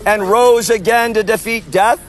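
Street preacher, male, high voice, loud proclaiming delivery, outdoors with faint street noise. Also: fairly even intonation, normal speed. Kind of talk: open-air street preaching.

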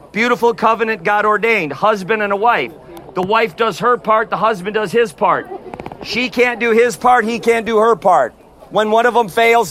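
Street preacher, male, high and forceful, loud, open-air with light traffic hiss. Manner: forceful, repeatedly addressing "neighbors". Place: outdoors on a street outside a concert. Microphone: no amplifier.

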